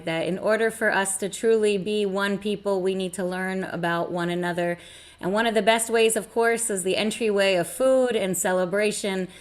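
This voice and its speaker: A woman hosting the event, giving closing thanks in a gracious, measured and sincere way on a video call. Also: mid-range voice. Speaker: synagogue event host. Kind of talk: closing remarks.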